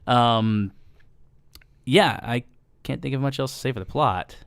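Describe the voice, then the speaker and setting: A man's voice: medium pitch, measured pace, vocal fry, clear, resonant tone, no echo, studio quality. A man co-hosting a casual podcast, rambling through a movie plot with frequent ums and restarts. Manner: rambling, lots of ums and restarts, laughs easily. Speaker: podcast co-host.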